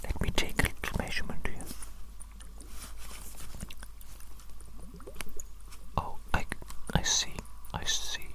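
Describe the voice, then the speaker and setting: Breathy whisper, eccentric evil scientist played by an ASMR performer, doting, obsessive, formal wording, soothing repetition, roleplay. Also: natural voice. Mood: curious, approving. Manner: repeats phrases slowly, formal old-fashioned wording, soothing.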